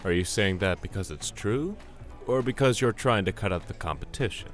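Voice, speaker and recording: Deep male voice, playful, flirty, laid-back, acted, animated, music behind, audio drama. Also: moderate pace, close to an everyday voice. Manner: playful, teasing.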